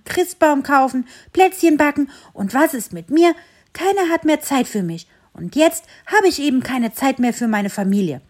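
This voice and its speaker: A woman voicing a big, cheeky male dog who is quick to take offence, in a children's story. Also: fairly high pitch, pitched above the performer's own voice. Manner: excited, indignant exclamations.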